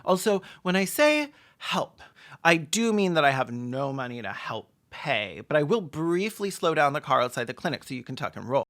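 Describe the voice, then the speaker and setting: Video commentator, medium pitch, sarcastic, comedic, deadpan asides, mock-dramatic emphasis. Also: normal speed.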